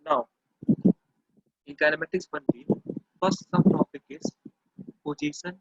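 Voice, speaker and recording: A man with a high, gravelly and husky voice teaches physics over an online class. He keeps repeating himself and saying "okay" as he explains. The sound is dry, muffled and lo-fi, like a call. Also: very animated, measured pace, no room sound. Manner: repetitive, restarts phrases, checks in with "okay". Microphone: computer or phone mic over internet call.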